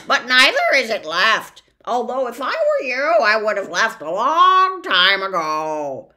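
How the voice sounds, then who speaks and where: A woman voicing a gleeful, interrupting male bird who cackles and talks loudly. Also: fairly high pitch, wildly swooping pitch, unhurried, pitched above the performer's own voice, heavily acted. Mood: gleeful, shrill. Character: shrill gleeful male word-snatching bird.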